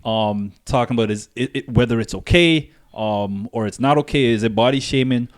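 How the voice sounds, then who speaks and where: A man from Guyana co-hosting a casual opinion discussion, building his points deliberately with asides and questions. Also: mid-range voice. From Guyana, moved north when young. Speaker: podcast co-host.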